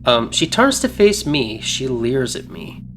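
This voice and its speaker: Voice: medium pitch, sing-song, a little slow, slight background noise. A game streamer giving casual, playful and reactive commentary while reading a visual novel's narration aloud. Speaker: game streamer, let's play host.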